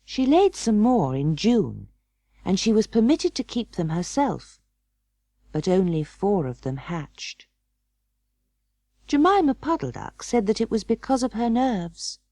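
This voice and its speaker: A woman reads a children's storybook aloud with dramatic expression. Her voice sits a little low but swings widely in pitch. She takes her time over each phrase, in a very dry recording. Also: very animated, unhurried, long pauses, mellow, no echo. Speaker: audiobook narrator.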